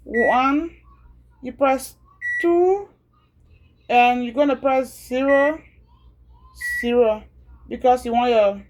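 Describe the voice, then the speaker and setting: Liberian woman, home video creator, somewhat high voice, casual and repetitive step-by-step delivery. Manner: chatty, repeats steps slowly.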